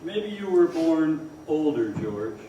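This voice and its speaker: An actor playing a tired, kindly older father in a radio drama on stage, gentle, measured and plain-spoken. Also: fairly high pitch, sing-song. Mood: weary. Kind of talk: old-time radio drama acting on stage. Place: performance hall, far from the microphone. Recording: very echoey, distant stage recording, faint noise.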